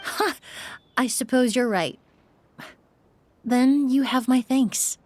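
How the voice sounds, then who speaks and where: An actress voicing a refined young woman perfumer in a video game, speaking in a measured, articulate way. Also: high-pitched, a little mellow, no echo. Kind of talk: game voice acting.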